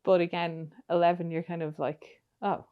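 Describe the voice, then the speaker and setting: A woman's voice: lowish voice, flat delivery, normal speed, clean tone, muffled recording. A young woman hosting a podcast on a studio mic, chatty, asking long rambling questions full of fillers. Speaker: podcast host. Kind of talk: casual interview chat.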